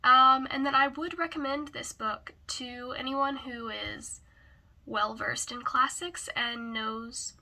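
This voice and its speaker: A woman who is hoarse and raspy from a cold gives a casual book review, often hesitantly searching for words. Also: high-pitched, fairly even intonation, slow pace, sharp tone.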